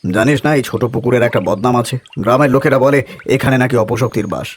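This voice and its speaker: A man voicing a weary, haunted village father in a cartoon ghost story, speaking in an urgent, dramatic, halting way. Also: mid-range voice, husky, lightly acted. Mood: concerned, warning. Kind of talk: Bengali animated ghost story voice acting. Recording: music behind the voice.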